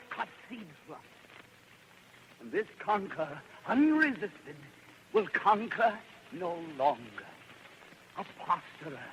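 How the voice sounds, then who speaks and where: Idealistic speechmaker from old radio play, super high male voice, solemn n drawn out, bit of hiss. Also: sharp tone, heavily acted, low-quality recording. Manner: declamatory, measured, rhetorical pauses. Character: solemn, idealistic orator addressing a crowd. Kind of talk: 1930s-style verse radio drama acting.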